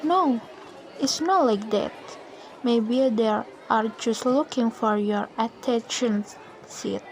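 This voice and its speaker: A young woman plays a caring schoolgirl friend in a radio drama. The voice is fairly high and slow, over faint crowd noise. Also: low-quality recording. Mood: soothing. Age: young adult.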